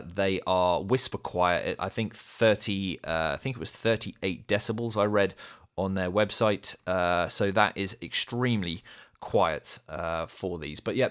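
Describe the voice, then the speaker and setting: A man's voice: low voice, fairly bright tone. A man with a cold, his voice a bit thicker than usual, hosting an easygoing product review at home and explaining the features step by step. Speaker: channel host reviewing a product. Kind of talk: casual product review to camera. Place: home dining room.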